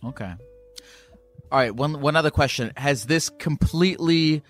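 A man hosting a casual call-in podcast, asking halting questions full of restarts and fillers. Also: fairly high pitch, faint music behind. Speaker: podcast host.

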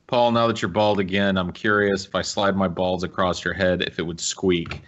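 A man's voice: sits low, level tone, moderate pace, fairly bright tone, lo-fi sound. A man co-hosting a podcast, chatting casually with crude, profane jokes. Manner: joking, profane. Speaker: podcast co-host.

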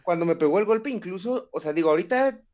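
Mexican male guest, reflective and halting, slightly high voice, muffled lo-fi audio. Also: lively intonation, darkish tone. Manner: thoughtful, halting, many restarts. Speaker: guest fighter. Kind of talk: interview answers.

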